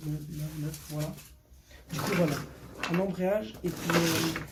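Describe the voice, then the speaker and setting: A man's voice: on the high side, a little mellow, poor audio. A fifteen-year-old boy from Portugal chatting casually to viewers in a vlog, slangy and repeating words for emphasis. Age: 15, teenager. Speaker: vlogger showing motorbike. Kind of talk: casual unscripted vlog talk. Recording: clear background noise.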